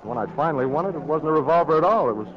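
A man's voice: fairly high pitch, lively intonation, rapid-fire, natural voice. A young man playing an eager, cocky farm boy in a 1940s radio drama, earnest and plain-spoken. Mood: eager, friendly. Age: young adult. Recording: dull, noisy 1940s radio broadcast.

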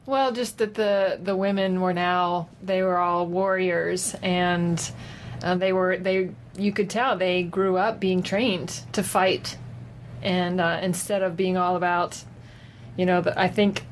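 A woman chatting casually and unscripted as a guest giving a film review, with a hesitant, halting delivery. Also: on the low side, flat delivery. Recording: noticeable background noise.

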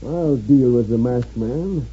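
An actor playing a sly, merciless old swindler with an American accent in a 1950s radio western. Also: male voice, mid-range voice, takes it slowly, dark tone, slightly rough. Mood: smug, cunning. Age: older. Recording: dull, faintly noisy 1950s radio recording.

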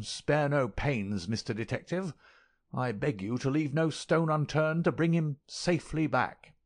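A man voicing a querulous, miserly little old lord with a sharp crackling voice and a jerky, intense manner. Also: medium pitch, vocal fry, pitched above the performer's own voice. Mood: alarmed, pleading.

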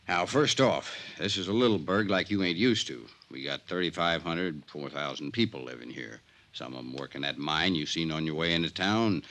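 Deep-voiced folksy old sheriff. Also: male voice, sharp tone. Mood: wary, warning. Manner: drawling, folksy, deliberate. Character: folksy, wary older male small-town Western sheriff. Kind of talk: 1950s radio drama acting.

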